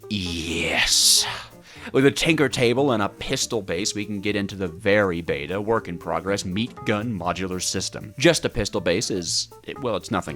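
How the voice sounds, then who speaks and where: A man's voice: on the low side, a bit bright. A man narrating a gaming video in a casual scripted style, with a playfully dramatic delivery.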